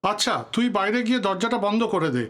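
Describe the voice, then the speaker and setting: An actor playing a touchy, authoritative veteran politician in an audio drama, formal and commanding in manner. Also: male voice, high-pitched, fast, a bit bright. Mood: genial, commanding. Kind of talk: Bengali audio drama acting.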